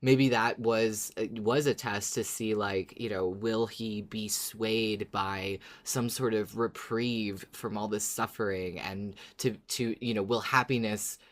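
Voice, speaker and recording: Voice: mid-range voice, fairly even intonation, takes it slowly, hardly any pauses. A podcast co-host in casual discussion, thoughtful and a little hesitant in phrasing.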